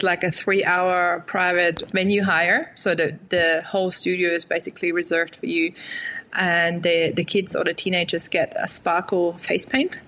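A woman's voice: on the low side, fairly bright tone, slight background noise. A woman from Germany, a guest on a casual podcast, chatting and explaining in an easygoing conversational way. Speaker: guest, photographer and studio owner.

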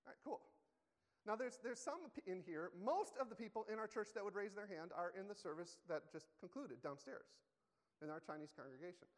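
A man's voice: sits high, lively intonation, a little fast. A man preaching a sermon in a church, conversational and self-correcting, often asking the congregation questions. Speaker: pastor. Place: church sanctuary. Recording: long hall reverb.

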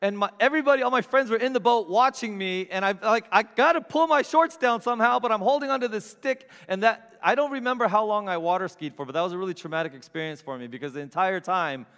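A middle-aged man preaching a sermon, telling funny stories about himself with big swings in loudness. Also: sits high, fast talker, few pauses, in a large hall. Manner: animated, self-mocking storyteller with big swings in loudness. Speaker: pastor. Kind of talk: storytelling sermon. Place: church sanctuary with long reverb.

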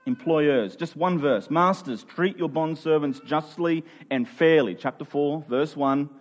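A man's voice: a little high, measured pace. A man preaching in a conversational, expository way, informal and emphatic, often checking in with his listeners.

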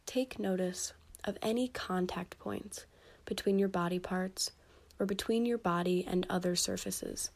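A woman leading a scripted guided meditation for sleep, speaking gently and soothingly with pauses between instructions. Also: mid-range voice.

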